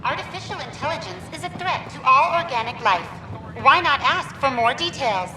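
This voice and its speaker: A woman's voice: high voice, lively intonation, normal speed, a crowd in the background, poor audio. An actress playing a cheerful, naive female hologram information program in a video game, with a presentational delivery. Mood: informative. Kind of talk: video game dialogue acting.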